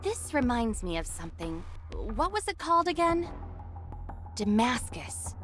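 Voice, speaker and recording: A woman's voice: on the high side, sing-song, measured pace, fairly bright tone, faint music behind. A woman voicing a friendly, easygoing young female character in a video game. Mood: curious.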